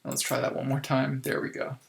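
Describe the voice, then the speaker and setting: Guy doing a laid-back screen tutorial, super gravelly fry in his voice, medium pitch, kinda talking it through as he goes. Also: monotone, brisk. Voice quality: creaky, gravelly. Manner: informal, improvised, thinking aloud while working. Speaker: software tutorial instructor. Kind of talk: casual screen-recorded tutorial, explaining step by step.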